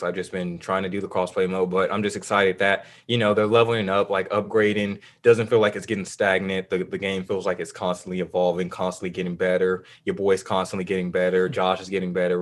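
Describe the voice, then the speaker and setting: Low-voiced man rambling about games. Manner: loose, rambling, many fillers and restarts. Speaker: podcast co-host. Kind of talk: casual gaming podcast chat.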